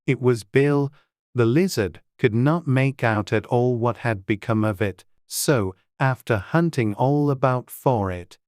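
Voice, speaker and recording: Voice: medium pitch, unhurried, a little mellow, no echo, clean studio sound. An audiobook narrator reading a scripted storybook.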